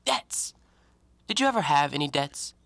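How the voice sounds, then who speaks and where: Man acting an animated, self-righteous gentleman scholar. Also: on the high side, moderate pace, vocal fry, dry recording, heavily acted. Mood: agitated, boastful. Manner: theatrical, argumentative, expansive speechmaking. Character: talkative, self-righteous, educated gentleman antiquarian. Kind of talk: stage-play acting in an audio drama.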